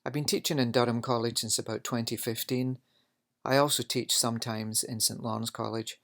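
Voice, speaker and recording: Gravelly Scottish man teaching a class. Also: mid-range voice, steady tone, fairly quick, a bit bright. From Scotland. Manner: explanatory, with frequent fillers. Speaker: culinary instructor. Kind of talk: recorded lecture.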